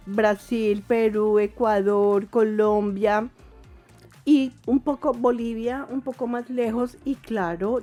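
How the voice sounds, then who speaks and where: A woman's voice: medium pitch, mellow. A woman, an institute director and guest in a radio interview, who explains things thoughtfully.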